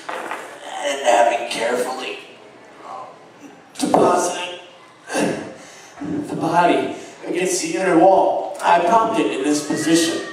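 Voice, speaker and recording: A man playing a haunted, unhinged murderer confessing his crime in a halting, theatrical dramatic stage reading of gothic horror. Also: a little high. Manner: halting, theatrical, swinging from hushed to agitated. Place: live show at an amusement park, far from microphone. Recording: very echoey, distant live recording, faint noise.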